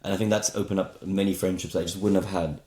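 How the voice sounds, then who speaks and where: A man's voice: sits low, fairly even intonation, fast, dark tone, echoey room. A man guest on a podcast, chatting casually and explaining ideas with examples, often restarting and repeating words. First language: Polish speaker of English. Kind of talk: casual interview conversation.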